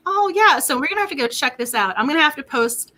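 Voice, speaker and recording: A woman hosting a book club livestream in casual chat, excitable and quick to laugh, her voice getting worn. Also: high voice, a little hiss or hum. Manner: excitable, laughs often, repeats words.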